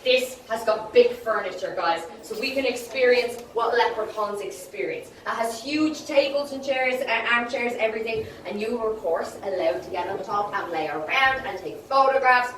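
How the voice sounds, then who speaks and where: A woman's voice: fairly high pitch, normal speed, hardly any pauses, a bit bright, slight background noise. An Irish woman guiding a group through an indoor museum exhibit, chatty and often checking in with her listeners. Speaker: tour guide. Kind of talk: guided tour talk to a group. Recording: echoey room, voice from far off.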